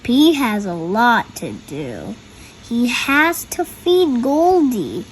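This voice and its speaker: A young girl reads a picture book aloud in a high voice. She takes her time and stops to explain the pictures, with faint noise behind her. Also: big pitch swings, drawn-out words, rough recording. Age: child.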